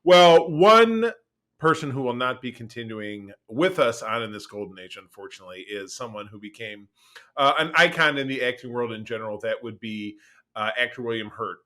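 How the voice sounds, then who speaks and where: Bright, emphatic male podcast co-host. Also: on the low side. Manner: animated, emphatic, repeats phrases. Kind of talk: casual chat about film and TV news.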